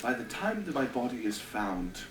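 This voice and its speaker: A man's voice: mid-range voice, big pitch swings, normal speed, lightly acted. A man with a British accent voicing an earnest, loyal young ninja in an anime parody dub. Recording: echoey, over crowd-like background sound.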